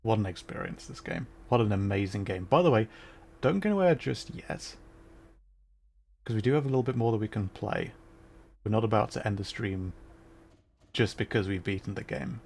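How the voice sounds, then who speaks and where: A man giving casual livestream commentary as he plays a video game, his voice slightly gravelly. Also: lowish voice, lively intonation, moderate pace, pauses often, a little mellow.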